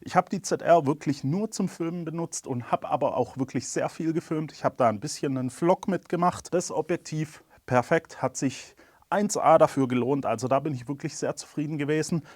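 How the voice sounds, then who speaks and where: A man's voice: fairly high pitch, a little fast, darkish tone, no echo. A man with a cold, candidly talking through his camera gear in a casual review. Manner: candid, chatty, plain-spoken. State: has a cold.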